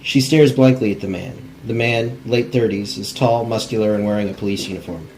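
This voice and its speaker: A man's voice: on the low side, a little fast, darkish tone, low-quality recording. A man narrating stage directions in a plain, unpolished cold reading of a script. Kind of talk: scripted narration at a table read. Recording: faint background noise.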